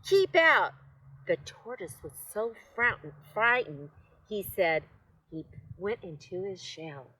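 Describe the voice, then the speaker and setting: Slow, low-pitched older woman reading a storybook. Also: lively intonation, slightly rough, rough recording. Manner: gentle, unhurried, addressing children. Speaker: children's storytime reader. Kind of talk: read-aloud storytelling with asides to children.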